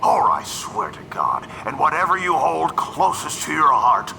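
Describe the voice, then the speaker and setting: An actor playing a gruff, menacing army general who barks threats. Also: male voice, on the high side, wildly swooping pitch, a little slow, sharp tone, a little hiss or hum, full character voice. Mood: threatening. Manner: threatening, barking. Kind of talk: fan audio drama acting.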